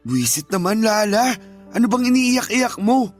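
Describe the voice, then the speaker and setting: Casting: evasive, exasperated husband, slightly high male voice, curt and dismissive radio drama delivery over music. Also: sing-song, a little slow, full character voice. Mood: exasperated, angry. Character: irritable, evasive, dismissive husband, tired from work.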